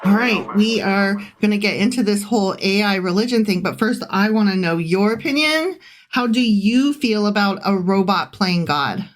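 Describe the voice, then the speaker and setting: A woman's voice: medium pitch, expressive, moderate pace, soft background music. A woman co-hosting a casual podcast, chatty and playful, her voice going out from a dry throat. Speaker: podcast co-host.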